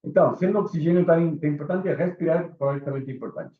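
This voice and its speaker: A man's voice: a little high, fast. A man from Chile with a Chilean Spanish accent, speaking Portuguese as an instructor, giving a casual live lecture and asking his small audience questions. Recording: echoey room, phone-like sound.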